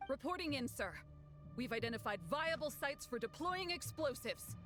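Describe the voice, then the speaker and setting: Dutiful female soldier, brisk and formal, high-pitched, video game, clear music behind. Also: lively intonation.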